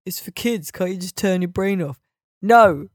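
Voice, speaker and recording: A woman's voice: medium pitch, moderate pace, no echo. A woman co-hosting a casual video game podcast, bantering with wry, dry humour. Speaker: podcast co-host.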